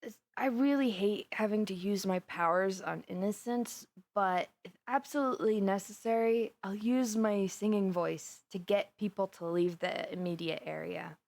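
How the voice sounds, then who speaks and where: A flustered young villainess in an audio roleplay, acted by a woman, spilling gossip to her best friend. She is giddy and chatty, rambling along in an animated, confiding way at a middling feminine pitch. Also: moderate pace, vocal fry, natural voice. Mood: confessional, softer. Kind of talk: conversational audio roleplay monologue.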